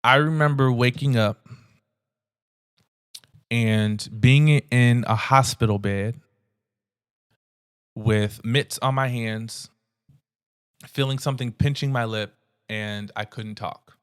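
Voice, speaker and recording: An earnest young man tells his own story on a podcast, talking casually and catching himself mid-sentence. His voice is smooth and resonant, recorded close and dry with no room sound. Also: medium pitch, takes it slowly, long pauses, no echo. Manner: earnest, conversational, animated retelling with frequent restarts. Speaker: podcast host. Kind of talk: personal storytelling.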